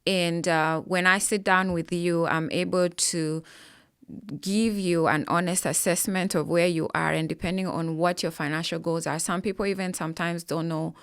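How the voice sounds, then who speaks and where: Woman, low and gravelly. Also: steady tone, normal speed, fairly bright tone, no echo, clean studio sound. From Kenya. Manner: earnest, explanatory, with frequent fillers. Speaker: financial advisor guest. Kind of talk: conversational interview answers.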